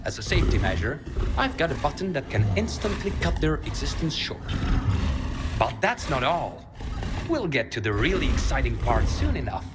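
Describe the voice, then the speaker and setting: An actor playing a grandiose, self-assured mad scientist in a game, speaking in a pompous, articulate way. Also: male voice, medium pitch, very animated, moderate pace, loud music behind the voice, natural voice. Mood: proud, confident.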